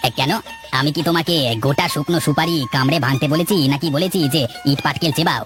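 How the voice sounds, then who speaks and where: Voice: medium pitch, fairly even intonation, quick pace, lightly acted. An actor plays a proud, easily offended village man in a cartoon tale. He banters and argues in colloquial dialogue. Prominent music plays underneath. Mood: indignant. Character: touchy village messenger, proud of his long name. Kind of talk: Bengali cartoon story dialogue.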